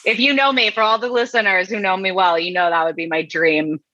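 A woman co-hosts a casual podcast, dropping dry, wry quips in a husky voice. She sounds bright, and the recording is dull and lo-fi. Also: mid-range voice, fairly even intonation, fairly quick. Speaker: podcast co-host. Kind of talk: casual chat.

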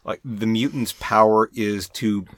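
A man co-hosting a casual comics discussion, halting and repeating words as he thinks aloud, with animated, profane asides. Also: on the low side. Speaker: podcast co-host.

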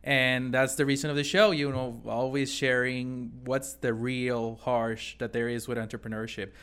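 A man's voice: mid-range voice, level tone, moderate pace, fairly bright tone, clear, resonant tone. A man hosting a business podcast, asking interview questions with enthusiasm and admiration. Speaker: podcast host.

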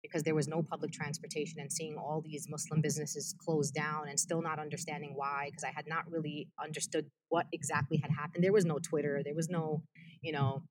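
A woman's voice: sits low, little pitch movement, rough recording. A woman, speaking earnestly and passionately as an activist in an interview. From United States. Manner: earnest, passionate, fast and emphatic. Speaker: activist guest. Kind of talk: interview conversation.

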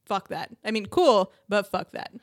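A woman co-hosting a casual podcast, laughing easily and chiming in with quick agreement, sometimes joking about herself. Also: medium pitch, lively intonation. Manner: laughs easily, frequent agreeing backchannels, self-deprecating. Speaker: podcast co-host. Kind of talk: casual recap chat.

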